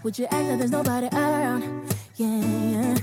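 A woman's voice: on the high side, measured pace. A woman singing a pop song with guitar backing. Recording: full music track with guitar.

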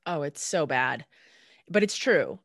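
A woman's voice: deep voice, lively intonation, slow pace, fairly bright tone, no echo, clean studio sound. A woman guest speaking candidly in casual conversation, blunt and emphatic, swearing freely. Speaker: podcast guest.

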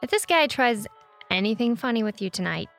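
A woman's voice: on the high side, lively intonation, brisk, creaky, soft background music. An actress playing a weary, caring woman in grief, in a naturalistic audio drama. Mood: fond, teasing. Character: grieving, weary small-town woman, subdued and caring.

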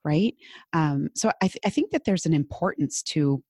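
A woman's voice: low-pitched, animated, moderate pace, dark tone, slightly rough, no room sound, studio quality. A woman from Minnesota with a Minnesotan accent, a business coach chatting as a podcast guest, full of fillers and restarts. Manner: chatty, many fillers and restarts, laughs easily. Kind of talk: casual interview chat.

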